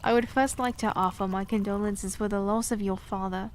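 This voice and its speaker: An actress playing an ageless queen who speaks in a measured, gracious and guarded way. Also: medium pitch, steady tone, background noise, lightly acted. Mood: formal. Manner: measured, formal and gracious, coolly reserved. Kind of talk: audio drama acting. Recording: music bed under the voice.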